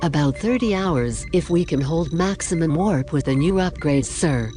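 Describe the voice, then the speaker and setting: A man's voice: a little high, animated, moderate pace, mellow, close to an everyday voice. Man playing businesslike helm officer, music behind. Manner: deferential, factual reporting. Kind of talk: science fiction audio drama acting.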